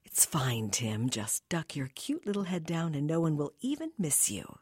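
Sly, wealthy older temptress, played by a woman reader: soft, breathy, low-pitched, coaxing and soothing. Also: huge pitch swings, dry recording, clean studio sound, heavily acted. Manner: cooing, slow, soothing.

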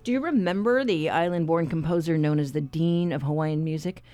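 A man hosting a public radio show, introducing segments and reading a quiz in a measured, clear announcer manner into a studio microphone. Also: a little high, darkish tone, soft background music.

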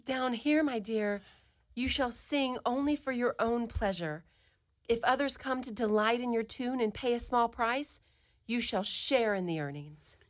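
Kindly mother, female actor: slightly high voice, gentle, reassuring, affectionate radio-play acting. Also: a little slow, natural voice. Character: kindly, reassuring middle-aged mother of a village lad.

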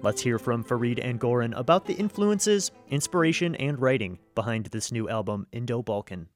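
A man hosting a radio music show, reading scripted announcements in a smooth, even way. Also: medium pitch, moderate pace.

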